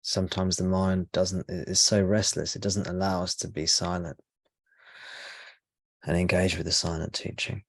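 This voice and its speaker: A man speaking as a spiritual teacher leading a guided meditation, in slow, measured short phrases with pauses between them. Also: low voice, flat delivery, creaky, no echo.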